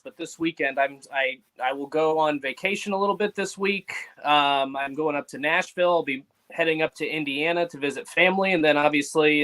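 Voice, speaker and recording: A male sports writer chats as a guest on a radio show, relaxed and agreeable. His voice is a little higher than most men's. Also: low-quality recording. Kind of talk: casual sports radio chat.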